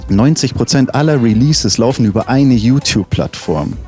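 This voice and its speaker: A man's voice: mid-range voice, animated, moderate pace, a little mellow, soft background music. A man as a rapper interview guest, chatting casually and enthusiastically with slang and frequent restarts. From Munich.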